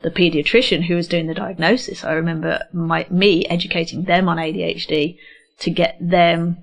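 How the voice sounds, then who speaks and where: A woman's voice: fairly low pitch, steady tone, normal speed, creaky. A middle-aged woman with a slight English accent, a radio interview guest in a studio, speaking candidly and reflectively with many hesitations. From England. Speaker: guest, neurodiversity educator. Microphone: studio microphone.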